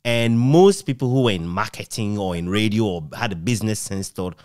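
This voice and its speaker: A middle-aged Ghanaian man interviewing conversationally, asking long, measured, reflective questions. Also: lowish voice, animated, darkish tone, dry recording. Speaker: interviewer, author. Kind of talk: conversational radio history interview.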